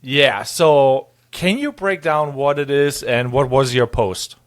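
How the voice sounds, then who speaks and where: A man's voice: medium pitch, slow. A man co-hosting a podcast, asking questions in a loose, rambling way with many fillers. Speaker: podcast co-host. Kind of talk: casual conversational interview.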